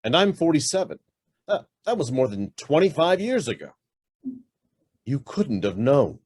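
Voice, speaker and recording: A man's voice: mid-range voice, sing-song, measured pace, darkish tone, slightly rough. An actor playing a curt, demanding middle-aged businessman who is suspicious and hiding something, in a radio drama. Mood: uneasy, probing. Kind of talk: stage-style radio drama acting.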